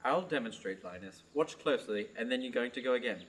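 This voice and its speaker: A man's voice: mid-range voice, expressive, fast talker, heavy background noise, natural voice. A young man playing a vain, pompous swashbuckling knight with grandiloquent speech in a radio play. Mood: scornful. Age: teenager to young adult.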